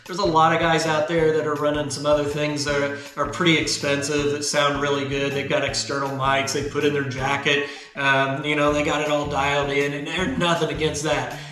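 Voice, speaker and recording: A man in his fifties hosting a motorcycle vlog channel, explaining a helmet setup in a relaxed, chatty, self-deprecating way at a desk indoors. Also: on the high side, fairly quick, echoey room, low-quality recording. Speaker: motovlog channel host. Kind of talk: casual gear tutorial. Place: indoor garage studio.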